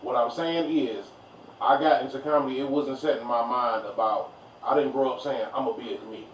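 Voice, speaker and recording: A man's voice: medium pitch, fairly even intonation, fairly quick. A stand-up comedian in his thirties in casual podcast banter, emphatic and profane, repeating his points to drive them home. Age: 30s. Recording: roomy, distant mic, faint noise.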